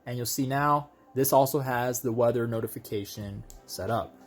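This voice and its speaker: A man's voice: mid-range voice, moderate pace, over loud music. A man giving a casual, conversational hands-on product demonstration, explaining things step by step as a video host.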